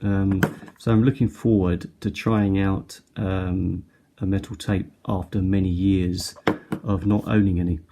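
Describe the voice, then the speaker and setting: A middle-aged man giving a casual, unscripted product show-and-tell in a hesitant, halting way. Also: low-pitched, little pitch movement. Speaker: product reviewer. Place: indoors at a tabletop. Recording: noticeable background noise, handling clicks.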